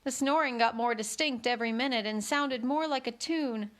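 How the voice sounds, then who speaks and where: A woman's voice: fairly high pitch, normal speed, a bit bright. A woman narrating a children's storybook expressively as host of a read-along.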